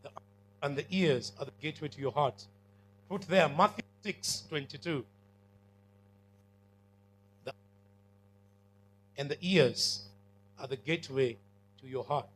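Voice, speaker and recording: Kenyan male preacher, high-pitched and bright, commanding, emphatic call-and-response delivery. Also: unhurried, pauses often, a little hiss or hum, rough recording. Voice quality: big commanding voice. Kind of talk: sermon to students.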